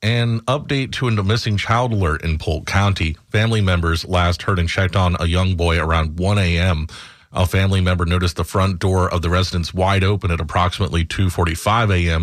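A man's voice: deep voice, no echo, studio quality. An American man reading scripted news headlines as a radio anchor.

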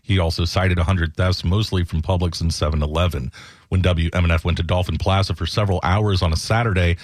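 Male news reporter, very low register, scripted narration in a dry close space. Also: steady tone, a little fast, no echo.

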